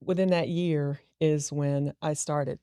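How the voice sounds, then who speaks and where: A woman's voice: low voice, fairly even intonation, takes it slowly, dark tone, smooth, no room sound, clean studio sound. A middle-aged woman, a business founder, telling a personal story earnestly and reflectively in an interview. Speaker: guest entrepreneur.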